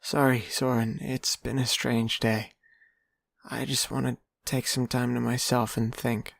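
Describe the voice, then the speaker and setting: A man's voice: mid-range voice, flat delivery, a little slow, creaky, a bit bright, dry recording, studio quality. A man playing a reserved young trainee of few words in an audio drama, subdued and terse.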